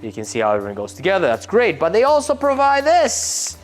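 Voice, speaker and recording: A man's voice: sits high, wildly swooping pitch, fast, soft background music. Man explaining car parts casually. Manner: explanatory, casual, with jokey asides. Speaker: car tuning shop host. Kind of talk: technical car build walkthrough.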